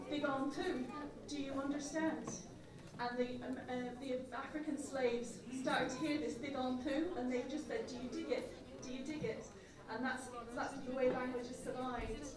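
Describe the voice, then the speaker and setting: A woman giving a public speech at a community event, telling stories earnestly with frequent ums and uhs. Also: high-pitched. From Ireland. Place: large echoey event hall. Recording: echoey hall from far off with crowd.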